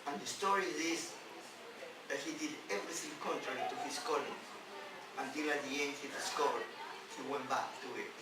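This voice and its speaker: A man who is a non-native English speaker, a rabbi giving an emphatic sermon in halting phrases with repeats and rhetorical questions. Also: on the high side. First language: not English. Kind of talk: sermon on scripture. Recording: distant, very echoey, noisy room recording.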